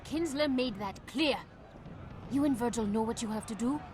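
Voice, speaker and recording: A woman's voice: a little high, moderate pace, darkish tone, lightly acted. An actress playing a determined, anguished young woman in a video game audio drama, speaking earnestly and urgently. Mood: desperate. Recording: noisy, busy ambient sound behind.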